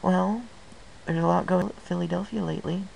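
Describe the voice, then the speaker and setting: Man voicing a flustered young mare, pitched up high. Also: fairly even intonation, brisk, vocal fry, a little mellow, low-quality recording. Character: concerned, flustered young female pony ticket seller. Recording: hissy amateur home recording.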